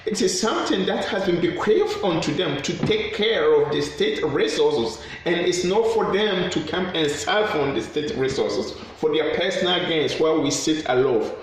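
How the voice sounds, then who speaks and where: A man's voice: a little high, lively intonation, normal speed, hardly any pauses, a little hiss or hum. A man with a Ghanaian accent, a campaigner urging listeners to fight corruption in a fervent appeal to camera, repeating phrases for emphasis. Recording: very echoey room.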